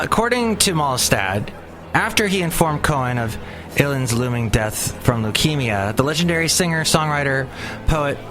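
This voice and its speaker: Rambling chatty man hosting a podcast. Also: mid-range voice. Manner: rambling, chatty, many fillers and restarts. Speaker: podcast host. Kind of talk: casual solo podcast talk.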